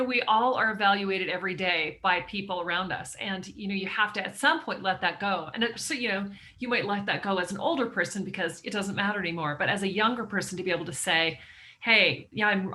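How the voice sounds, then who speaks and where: A woman hosting a casual interview, enthusiastic and quick to react with exclamations. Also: mid-range voice, bright, forceful tone, a little hiss or hum. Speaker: interview host.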